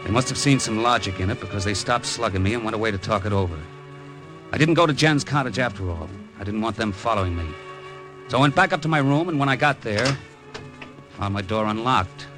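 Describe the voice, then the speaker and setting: A man's voice: fairly low pitch, a little fast, lightly acted. An actor playing a cynical, mercenary American expatriate in 1940s radio style, terse and wisecracking as he narrates his story. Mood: grim narration. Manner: terse, wisecracking, world-weary. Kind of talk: 1940s radio acting, hard-boiled first-person narration. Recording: old radio broadcast recording, music behind.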